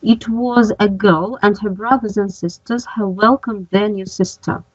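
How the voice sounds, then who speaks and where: Woman in her thirties, adult student, careful slow reader, dry dull call audio. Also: medium pitch, fairly even intonation, husky, dry recording. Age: 30s. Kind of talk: reading aloud in class. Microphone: video call.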